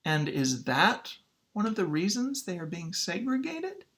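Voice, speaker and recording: A man's voice: sits high, big pitch swings, measured pace, a bit bright, pitched above the performer's own voice, heavily acted. Man reading as a pointed, probing courtroom lawyer. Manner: formal, pointed questioning. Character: probing male lawyer questioning a witness in court.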